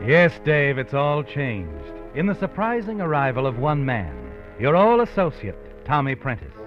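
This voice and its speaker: A man's voice: medium pitch, wildly swooping pitch, moderate pace. An actor voicing an ominous male narrator who speaks to the hero in brooding second-person narration, in a 1950s radio drama. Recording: lo-fi 1950s radio recording with music under.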